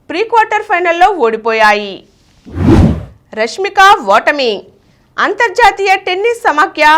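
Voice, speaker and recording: A woman's voice: high voice, fairly bright tone. A woman reading scripted news and short bulletins as a news reader.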